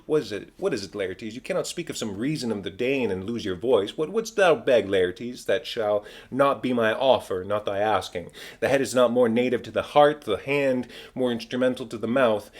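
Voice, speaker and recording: A man playing a commanding middle-aged king in a dramatic Shakespeare reading, formal and declamatory, with smooth authority. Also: mid-range voice, darkish tone, natural voice. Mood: indulgent, probing. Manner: declamatory, stately.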